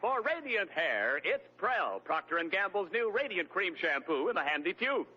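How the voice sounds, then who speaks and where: A man's voice: sits high, big pitch swings, normal speed, pushed and bright. A man announcing sponsor commercials and credits in a polished 1940s radio style. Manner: smooth, polished, emphatic. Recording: dull lo-fi old radio recording with music.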